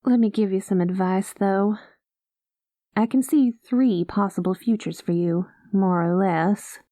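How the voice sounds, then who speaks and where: A woman playing a rogue space pilot in an ASMR roleplay, talking close to the mic in a soft, folksy cowboy drawl that drops into whispers. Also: medium pitch, steady tone, a little slow, no echo. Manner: soft-spoken, slips into whispers. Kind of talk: ASMR roleplay, spoken to the listener.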